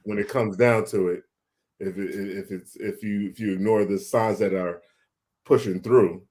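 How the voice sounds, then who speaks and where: A man with a fairly low voice shares a personal story in casual conversation. He feels his way through it haltingly, often repeating words as he goes. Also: fairly even intonation, darkish tone. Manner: halting, repeats words as they think aloud. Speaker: guest sharing a personal health story.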